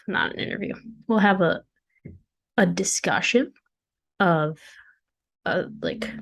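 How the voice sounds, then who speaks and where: A young woman with a hoarse voice, hosting her own podcast in casual, chatty solo talk from her dorm room, with self-deprecating jokes. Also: fairly low pitch. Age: young adult. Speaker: podcast host and founder. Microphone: desk mic at a computer.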